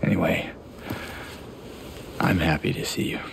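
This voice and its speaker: An actor playing a troubled, gentle young man in a video game drama, with a hesitant, concerned, soft-spoken delivery. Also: low-pitched, level tone, measured pace, vocal fry, noisy background, heavily acted. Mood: cautious, concerned. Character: troubled, gentle man searching a haunted town.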